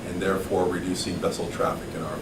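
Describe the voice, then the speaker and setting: Deep-voiced man in an echoey hall. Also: level tone, quick pace. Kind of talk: public forum testimony. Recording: echoey distant hall recording with noise.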